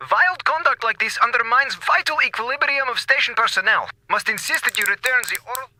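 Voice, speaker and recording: An actor playing an indignant, pompous station scientist in a space radio drama, speaking in stiff, clipped grammar with dropped words. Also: male voice, very high-pitched, brisk, bright, forceful tone, slight background noise, heavily acted.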